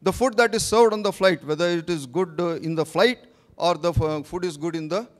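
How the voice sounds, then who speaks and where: Man, higher voice, halting informal speaker, lots of restarts. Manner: halting, with restarts and cut-off words. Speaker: speaker at a training meet. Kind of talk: informal talk to an audience.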